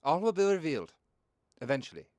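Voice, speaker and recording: A man's voice: a little high, sing-song, fast talker, darkish tone, no echo. A man playing an awkwardly self-conscious godlike note writer who rambles and interrupts himself, in a comedy radio play read. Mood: cryptic.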